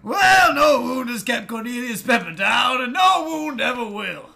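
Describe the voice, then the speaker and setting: A booming old dog general from an audio drama, high and cracking, with a gravelly rasp. Jovial and blustery, he loses the thread now and then. His tone is bright and strained, and his voice climbs and falls a lot. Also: very animated, a little slow, full character voice. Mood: gruff bluster. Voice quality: raspy, gravelly. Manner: booming, jovial, drifts into confusion. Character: old male hound general, senile, hearty, bedridden. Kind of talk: fan audio drama reading.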